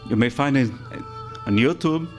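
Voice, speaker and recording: A man from Brazil with a Brazilian Portuguese accent, giving a slow, deliberate public lecture at a spiritist center, with halting restarts. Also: medium pitch, soft background music.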